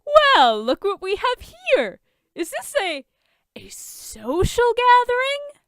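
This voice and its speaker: A woman's voice: very high-pitched, huge pitch swings, takes it slowly, fairly bright tone, no room sound, studio quality, voice pushed up, exaggerated voice. A woman voicing a smug, taunting male billionaire villain in a fan fiction reading. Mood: mocking.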